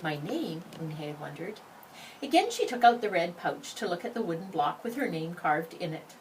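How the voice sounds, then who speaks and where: A woman's voice: on the low side, fairly quick. A woman reading a children's picture book aloud expressively, adding brief asides. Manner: expressive, acts out the dialogue. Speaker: story reader. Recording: distant microphone, background noise.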